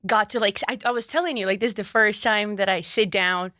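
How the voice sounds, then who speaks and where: A young woman from Brazil with a Brazilian Portuguese accent, a guest chatting in an interview, bubbly and quick to laugh, with lots of "like.". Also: medium pitch, pushed and bright, no echo, clean studio sound. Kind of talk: casual interview chat.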